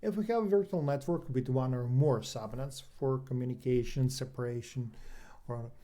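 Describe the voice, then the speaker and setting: Male online tech trainer, native Serbian speaker with Serbian accent. Warm, relaxed timbre, explanatory delivery, slightly halting phrasing. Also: mid-range voice. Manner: halting, non-native English phrasing with self-posed questions. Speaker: cloud engineer and trainer presenting an online session. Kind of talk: explanatory technical presentation.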